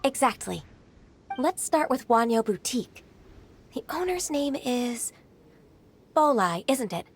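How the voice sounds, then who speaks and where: A high-voiced, composed secretary. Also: female voice, lively intonation, a little hiss or hum. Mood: thoughtful, assured. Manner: polite, measured, tactful. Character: gentle, composed young female secretary and mentor. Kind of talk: video game voice acting.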